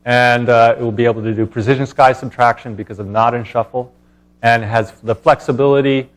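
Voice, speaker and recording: A man presenting as a scientist at a conference, speaking in a halting way with pauses mid-thought. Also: medium pitch, fairly even intonation. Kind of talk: conference talk and question answering.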